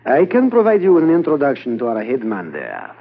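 A man's voice: medium pitch, wildly swooping pitch, brisk, vocal fry, exaggerated voice. A man playing a formal, persuasive smuggling-ring contact in a 1950s radio drama, on a thin, tinny transcription.

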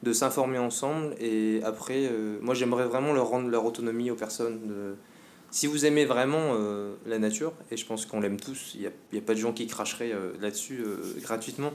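A man's voice: mid-range voice, brisk, a little mellow. Earnest man searching for words. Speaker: podcast guest. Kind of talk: casual conversation.

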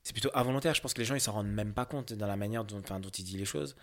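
Hesitant low-voiced young man. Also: rapid-fire. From France. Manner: hesitant, many fillers and restarts. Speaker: interviewee. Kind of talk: candid interview answers.

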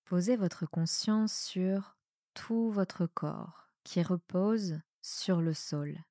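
A woman with a soft voice guiding a relaxation meditation in a calm, soothing manner with measured pauses. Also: fairly low pitch, dry recording, studio quality. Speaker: yoga nidra guide. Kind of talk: guided meditation, relaxation instruction.

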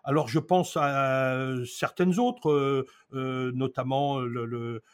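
A man's voice: mid-range voice, slow pace, smooth. A man in his sixties, an interview guest from France who talks about housing in a reflective way, stalling often and then pressing his points hard. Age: 60s. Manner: hesitant, many restarts, then emphatic bursts.

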